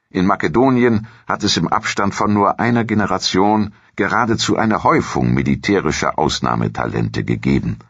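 A man with a gravelly, husky voice reads scripted documentary narration in a measured, formal storytelling manner. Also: extremely low pitch, a bit bright, no echo, muffled recording.

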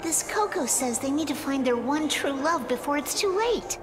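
A woman's voice: high-pitched, lively intonation, normal speed. A woman playing a sweet, caring young female hedgehog in a video game, speaking earnestly and expressively. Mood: earnest, tender. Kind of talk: video game cutscene acting. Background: loud game music.

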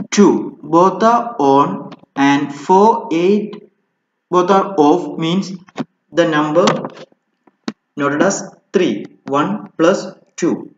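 Male tutorial instructor, slow and explanatory, slightly high voice, informal delivery. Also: a little hiss or hum. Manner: explanatory, often checking with "okay". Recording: muffled, roomy, echoey home recording.

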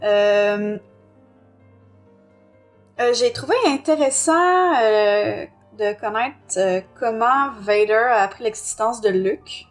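A female podcast co-host speaks with a Quebec French accent at a medium pitch. She is giving her part of a review show, with soft music running behind her voice. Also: takes it slowly. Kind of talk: casual review discussion, partly reading aloud. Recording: music under the voice.

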